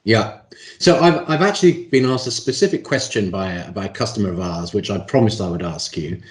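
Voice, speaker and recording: A man's voice: lowish voice, expressive, fairly quick. A man hosting a business interview, putting conversational questions to a guest, with a roomy echo on his voice. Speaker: interviewer, host. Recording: roomy, echoing voice pickup.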